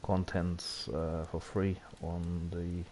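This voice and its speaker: A man's voice: deep voice, little pitch movement, slow, darkish tone. A man hosting a video game livestream, chatting casually and thinking aloud in a hesitant way. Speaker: live streamer hosting a video game broadcast. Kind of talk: casual gaming livestream chat. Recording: faint hiss behind the voice.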